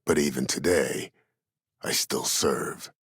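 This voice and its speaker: An actor playing a cold, proud, older former war admiral in a video game, speaking formally and with measured authority in a gravelly, husky voice. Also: male voice, a bass voice, fairly even intonation, a bit bright, no echo, clean studio sound, full character voice. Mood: grave, resolute. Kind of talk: dramatic video game dialogue.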